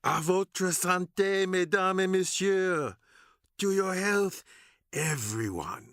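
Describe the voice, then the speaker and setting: An actor plays a genteel man announcing a radio sponsor's message. He is suave and deliberate, speaking slowly with expressive swings in pitch. The recording is close and dry. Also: dry recording, exaggerated voice. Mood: suave, inviting. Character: genteel, urbane male wine-sponsor announcer. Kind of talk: scripted radio sponsor advertisement.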